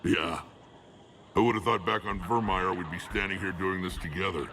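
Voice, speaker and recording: An actor playing a gruff, proud, battle-hardened alien warlord in a video game, with a gravelly rumble and blunt, dry humor. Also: male voice, fairly even intonation, moderate pace, fairly bright tone. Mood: gruff, resolute. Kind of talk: video game cutscene acting.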